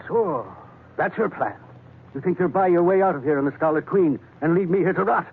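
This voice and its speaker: A man's voice: high voice, expressive, a little fast, breathy edge, narrow, tinny audio, full character voice. A man playing a bitter, agitated doctor in a 1940s radio drama, speaking in a scornful, accusing, querulous manner. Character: bitter, agitated Irish doctor stranded in tropics. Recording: thin old 1940s radio recording, faint noise.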